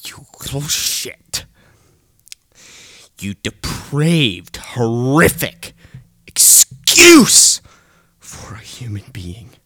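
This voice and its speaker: A man's voice: medium pitch, wildly swooping pitch, very slow, long pauses, sharp tone, no echo. A young man delivering a seething, hateful rant, often dropping into a hissed whisper.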